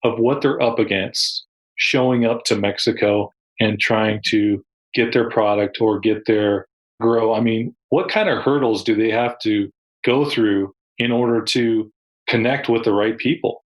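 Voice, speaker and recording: A man's voice: on the low side, unhurried, dull audio. Conversational man hosting a podcast. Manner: conversational, asks leading questions. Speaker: podcast host. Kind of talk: podcast interview.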